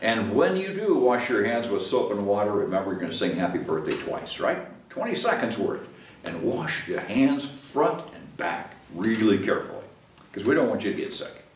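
Animated older man reading, roomy sound. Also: fairly low pitch, moderate pace, slight background noise. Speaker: mayor reading at a library children's story time. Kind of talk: expressive picture-book read-aloud for children. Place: small meeting room with whiteboard, at a table. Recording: roomy, echoing indoor sound.

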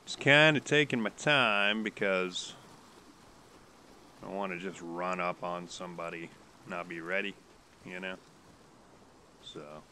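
A man's voice: low voice, unhurried, pauses often, sharp tone, slight background noise. A man with a stuffy nose from a cold, casually commenting while playing a game, rambling and hesitant with many restarts. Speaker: gaming YouTuber.

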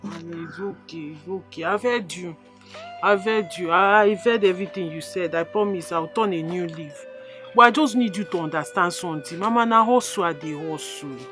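A woman's voice: on the low side, lively intonation, quick pace, hardly any pauses, bright, forceful tone, faint music behind. A woman playing a cheeky young hustler who coaxes her mother and mixes in Pidgin, with a Nigerian accent. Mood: coaxing. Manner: defensive, coaxing, mixes in Pidgin.

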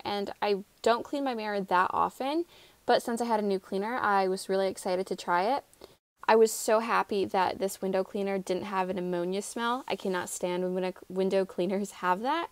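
A woman's voice: mid-range voice, fairly quick, clean tone, no room sound. A woman in her twenties with an American accent, narrating a casual home vlog in a chatty, upbeat manner. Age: 20s. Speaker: home vlogger.

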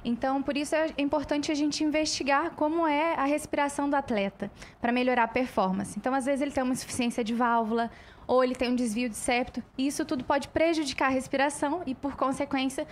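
Young brazilian lady doctor, high voice, explains calmly, bit of background noise. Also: fast talker. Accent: Brazilian Portuguese. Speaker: guest, ENT doctor. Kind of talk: explanatory interview answers. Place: podcast studio. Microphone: handheld mic.

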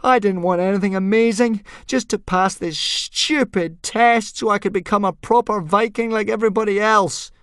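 A man voicing a defiant young Viking boy who shouts in anger. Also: high-pitched, moderate pace, hardly any pauses, clean tone, no room sound, studio quality, pitched above the performer's own voice. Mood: furious yelling. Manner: shouting, yelling at the sky.

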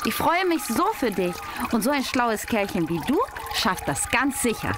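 A woman's voice: on the high side, sing-song, normal speed, very noisy. A woman playing a curious, supportive teenage girl adventurer in an audio drama. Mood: encouraging.

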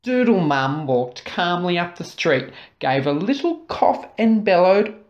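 Low-voiced, animated woman reading a storybook. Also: dull audio. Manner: dramatic, deliberate, drawn-out key words. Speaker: storybook reader. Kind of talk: expressive children's story narration.

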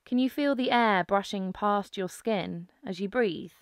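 Female meditation guide: clear, smooth tone, very measured pace, calm instructive delivery. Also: medium pitch, no echo, studio quality. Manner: calm, instructive, unhurried with pauses. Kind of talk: guided mindfulness meditation narration.

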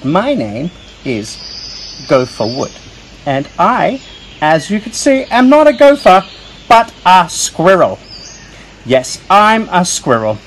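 A man voicing an excitable, talkative young squirrel who tells children a story in a theatrical, self-important way. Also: high voice, takes it slowly, long pauses, heavily acted. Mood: excitable, chatty. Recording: faint noise with birdsong and crickets.

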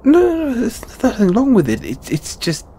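A British man voicing a shy, flustered lamia flatmate in an intimate audio roleplay, with stammering, hesitant, sighing delivery. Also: very high-pitched, moderate pace, vocal fry, husky, full character voice. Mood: nervous, defensive.

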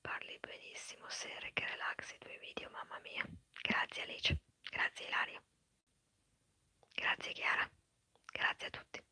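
A woman whispering quickly and chattily in an ASMR live stream, answering viewers' comments. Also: pushed and bright, dry recording. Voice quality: breathy whisper. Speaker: ASMR creator.

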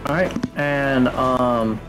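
A man's voice: medium pitch, fairly even intonation, extremely slow, rough recording. A man playing a tabletop game, casually counting and calling his moves aloud. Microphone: online group video call. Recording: music behind the voice. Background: music.

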